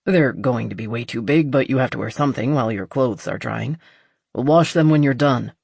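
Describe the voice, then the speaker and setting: Narrator as gruff man, terse, dryly amused, romance audiobook hero, close dry recording. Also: mid-range voice, brisk, darkish tone, no room sound. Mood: matter-of-fact. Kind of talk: audiobook character dialogue.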